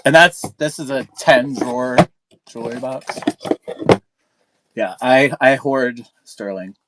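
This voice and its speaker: A woman's voice: a bass voice, slow, very noisy. A middle-aged woman co-hosting a casual livestream, rambling and often interrupting herself as she talks. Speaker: co-host of a vintage seller livestream. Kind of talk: casual unscripted livestream chat.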